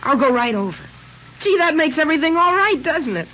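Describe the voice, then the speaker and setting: A man's voice: very high-pitched, very animated, fairly quick, pushed and bright, heavily acted. American teenage boy, boyish, earnest, gee-whiz eagerness, vintage radio drama, lo-fi with light hiss. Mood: grateful, excited. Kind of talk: 1940s American radio acting. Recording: muffled, hissy vintage radio broadcast.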